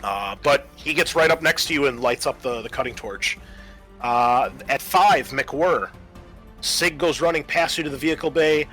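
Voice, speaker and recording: Bright-voiced man running a tabletop game. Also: a little high, normal speed, soft background music. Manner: conversational, explanatory. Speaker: game master.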